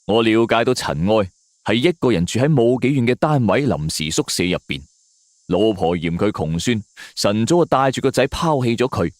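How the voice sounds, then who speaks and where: A man's voice: fairly low pitch, big pitch swings, moderate pace, dry recording, studio quality. A man narrating a scripted suspense story in a dramatic storytelling manner.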